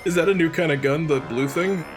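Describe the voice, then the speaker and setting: A man's voice: a little high, fairly quick, music in the background. A man giving casual, joking let's play commentary, often putting on mock quotes.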